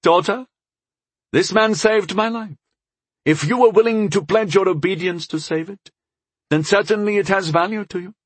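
A man's voice: high-pitched, normal speed, no room sound, pitched above the performer's own voice. A man voicing a stern, mighty old elven lord in a fantasy audiobook, speaking in a formal, commanding way. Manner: lordly, formal, emphatic. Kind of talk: audiobook character voice.

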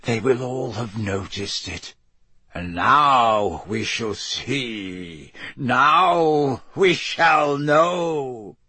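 A man voicing a cruel dark wizard villain for an audiobook, speaking with cold, soft, lazy menace and a faint hiss. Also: medium pitch, husky, no echo, full character voice. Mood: soft, exultant. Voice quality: cold, hissing softness. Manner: slow, lazy, deliberate menace. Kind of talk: audiobook character voice.

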